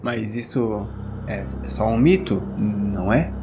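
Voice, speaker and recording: A man's voice: lowish voice, slow, noisy background. A Brazilian man taking part in a tabletop role-playing game as a player, with casual banter. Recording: background music under the voice.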